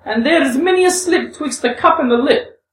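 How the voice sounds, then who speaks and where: A woman's voice: high voice, animated, moderate pace, slight background noise, voice pushed up. A woman playing a volatile, wounded young Russian woman in a storybook reading, with theatrical exclamations. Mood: taunting, defiant. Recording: roomy, distant microphone.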